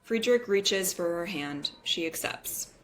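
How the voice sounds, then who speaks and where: A woman's voice: fairly low pitch, lively intonation, takes it slowly, a little hiss or hum, rough recording. A woman reading screenplay stage directions as a narrator in a scripted style.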